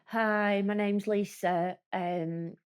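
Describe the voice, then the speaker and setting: A woman's voice: medium pitch, steady tone, drawn-out words, smooth. A woman, speaking nervously and hesitantly in an interview. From Preston. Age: 30s.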